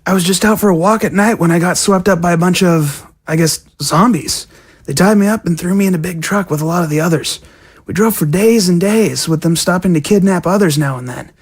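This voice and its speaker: A woman playing a youthful zombie prisoner, frank and low-key, talking casually in an audio drama. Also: a little fast, breathy edge. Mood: confiding. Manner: casual, matter-of-fact.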